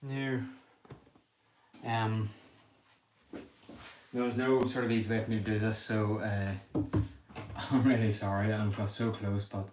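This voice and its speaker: A young Northern Irish man talks quickly and a little self-deprecatingly, muttering his thoughts as he works. His voice sits low and stays fairly flat. The sound is roomy and echoey, with a faint hiss underneath. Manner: thinks aloud, self-deprecating, apologetic. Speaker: livestreamer building a brick set. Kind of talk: casual livestream chat while building. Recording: echoey room with faint noise.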